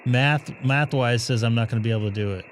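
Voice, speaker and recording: A man hosting a ham radio live stream, chatting and thinking aloud as he operates, his voice going hoarse. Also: mid-range voice, fast, slight background noise. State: losing his voice.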